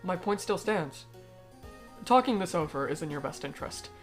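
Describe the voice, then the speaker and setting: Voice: mid-range voice, lively intonation, soft background music, close to an everyday voice. A performer in a fan dub voicing a cold, aristocratic alien noble who speaks formally and eloquently, bitter yet persuasive. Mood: calm, persuasive. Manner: formal, eloquent, measured speech. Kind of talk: fan-dub voice acting of comic dialogue.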